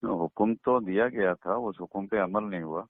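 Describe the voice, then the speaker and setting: A man's voice: lowish voice, moderate pace, no room sound. A man answering a phone call as a cleric, giving short, matter-of-fact and somewhat guarded explanations. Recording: narrow, tinny phone line.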